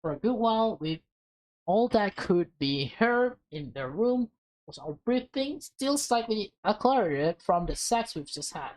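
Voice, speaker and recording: A woman's voice: medium pitch, animated, measured pace, a little mellow, low-quality recording. A woman reading a visual novel aloud with casual asides, often stumbling and rereading phrases. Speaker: gaming video host, reader.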